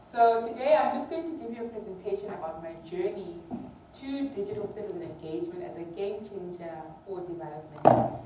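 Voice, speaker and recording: A woman's voice: mid-range voice, fairly quick, mellow. Woman lecturing in a very echoey hall. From Uganda. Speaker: academic researcher giving an award lecture. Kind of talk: public lecture, semi-informal. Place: large echoey lecture room. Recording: distant mic, very echoey hall, clear background noise.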